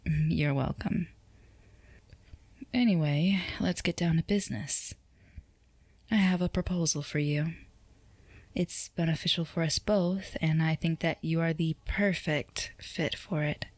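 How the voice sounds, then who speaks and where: A woman acts out a poised female CEO with a low voice, recorded very dry and close. She is flirtatious and composed, teasing the listener in formal, unhurried phrases, in the manner of an ASMR roleplay. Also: darkish tone, dry recording. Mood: amused, praising.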